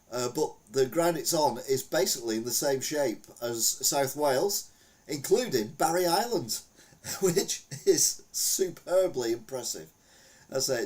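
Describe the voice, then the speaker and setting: A man's voice: mid-range voice, recorded from a distance. A British man hosting a podcast, reading out odd news items with a wry, rambling, joking manner and lots of fillers. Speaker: podcast host. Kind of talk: casual news commentary.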